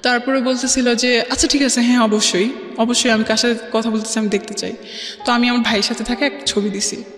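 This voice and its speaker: A woman's voice: on the high side, flat delivery, fast talker. Bangladeshi woman storytelling in echoey room. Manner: mixes English words into her talk. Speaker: guest telling her life story. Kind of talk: conversational personal storytelling. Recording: roomy reverberant studio, music underneath.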